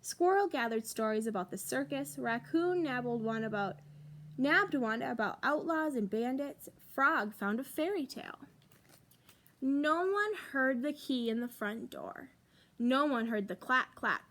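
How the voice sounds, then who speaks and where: A woman with a high voice reads a picture book aloud to young children. Her pitch swings widely, and she leans on pauses to shape each phrase. She sounds like a practised storytime reader. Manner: expressive, emphatic pauses for young listeners. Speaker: librarian reading a storytime.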